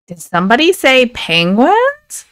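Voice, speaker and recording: A woman voicing silly, excitable animals with a gushing, easily confused manner in a children's storybook. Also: sits high, a little slow, clean tone, heavily acted. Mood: eager, confused.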